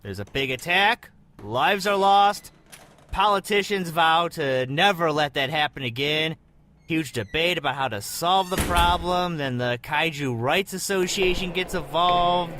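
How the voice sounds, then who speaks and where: A man's voice: high-pitched, pushed and bright, a little hiss or hum. A man playing a jaded, skeptical soldier on monster watch, dry and dismissive in comic dialogue.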